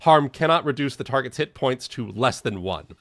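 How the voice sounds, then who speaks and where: A man's voice: mid-range voice, animated, normal speed. A man chatting casually as a player in a tabletop fantasy game, explaining his moves and rules.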